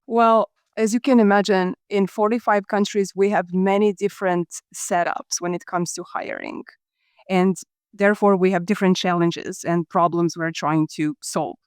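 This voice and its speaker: A woman from Croatia, a podcast guest explaining her hiring work in a measured, businesslike way. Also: on the low side, fairly even intonation, clean tone, dry recording, studio quality. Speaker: guest, talent acquisition leader. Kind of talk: podcast interview answers.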